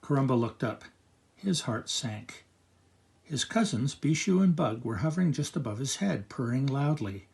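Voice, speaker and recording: Older man with a lively, creaky storytime voice. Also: mid-range voice, moderate pace. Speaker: grandfather reading picture books aloud. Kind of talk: expressive children's storytime narration.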